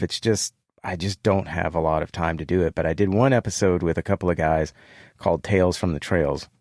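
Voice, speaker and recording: A man talking casually as a podcast guest, calm and easygoing, laughing often. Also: sits low, no room sound, clean studio sound.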